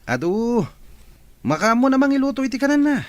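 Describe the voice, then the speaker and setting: An actor playing a callous, gloating villain's accomplice in an Ilocano radio drama. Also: male voice, very high voice, sing-song, a little fast, dry recording.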